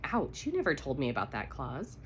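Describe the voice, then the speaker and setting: A woman's voice: very deep voice, wildly swooping pitch, fairly quick, slight background noise, full character voice. A woman with a cold voicing a loyal, reassuring male best friend in a romance novel. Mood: sympathetic. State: congested with a cold.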